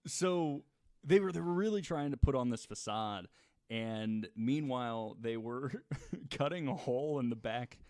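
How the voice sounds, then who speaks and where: A man's voice: medium pitch, expressive, a little slow, darkish tone, smooth, no echo, studio quality. A man co-hosting a podcast, telling a story in a casual, jokey, conversational way with some laughing as he talks. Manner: jokey, laughs while talking. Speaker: podcast co-host telling a true crime story.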